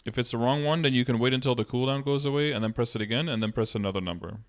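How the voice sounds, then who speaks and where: A man in his thirties with a medium-pitched voice talks on a live stream. He explains things casually, step by step, as he answers viewers, close to the mic in a room. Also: racing. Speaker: game streamer. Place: indoor room, streaming setup.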